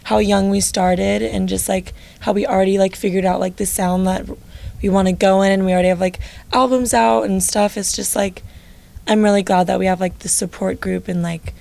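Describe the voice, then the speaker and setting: Low raspy teen girl. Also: fairly even intonation, brisk, warm tone. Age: late teens. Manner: chatty, lots of filler likes. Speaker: lead singer of a band. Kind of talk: casual interview chat.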